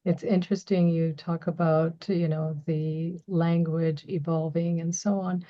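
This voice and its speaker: A woman with a Canadian accent, whose first language is Bengali, interviews a guest at an unhurried pace. She backs her guest up with steady mm-hmms. Her audio sounds slightly dull and lo-fi. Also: on the low side. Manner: encouraging, frequent mm-hmms. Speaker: podcast host. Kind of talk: conversational interviewing.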